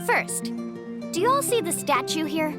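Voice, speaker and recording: A woman playing an upbeat, confident young female island guide in an animated video game. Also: very high-pitched, normal speed. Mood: bright, instructive. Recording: loud music behind. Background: game music.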